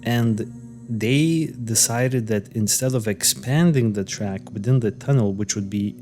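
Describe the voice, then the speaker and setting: A man's voice: on the low side, a little slow, mellow, faint music behind. A man co-hosting a podcast, recounting a case in loose, hesitant casual chat full of fillers and restarts. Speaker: podcast co-host. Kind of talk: casual conversational storytelling.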